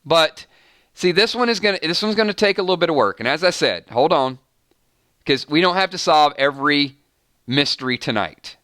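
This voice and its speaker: Bright, crisp-voiced man hosting a live stream. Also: on the high side, normal speed, no echo, clean studio sound. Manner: animated, breaks off mid-sentence with repeated "hold on" and "wait a minute". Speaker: fan channel host. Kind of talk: live-stream commentary reading chat.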